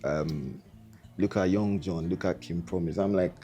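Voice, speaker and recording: A man's voice: low voice, fairly even intonation, unhurried, mellow, faint music behind. A young man from Ghana, a musician guest talking in a laid-back, hesitant way and often repeating words. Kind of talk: casual interview chat.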